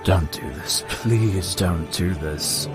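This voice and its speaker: A man plays a worried, devoted confidant in an audio drama. He speaks very low, earnest and formal in his pleading, beneath prominent music. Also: takes it slowly.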